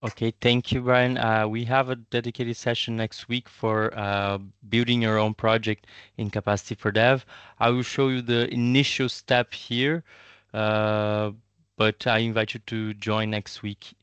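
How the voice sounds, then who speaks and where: Smooth-voiced man hosting a webinar. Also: lowish voice, level tone, unhurried, a bit bright, dry recording. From Brazil and Italy. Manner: hesitant, many fillers, friendly and encouraging. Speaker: webinar host, community manager. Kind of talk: online webinar facilitation. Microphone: video call.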